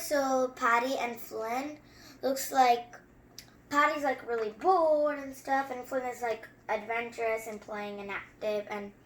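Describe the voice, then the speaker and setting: A female story-time host reading aloud and adding casual, chatty commentary in a halting way. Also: high voice. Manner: halting word-by-word reading, informal chatty asides. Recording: roomy echo.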